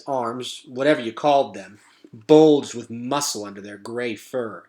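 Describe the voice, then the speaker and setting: A man narrating a children's novel expressively as a read-aloud storyteller. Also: medium pitch.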